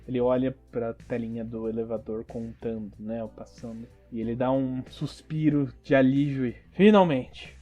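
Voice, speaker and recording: A man with a mid-pitched voice runs a tabletop role-playing game. He narrates scenes as game master, with faint music playing underneath. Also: mellow.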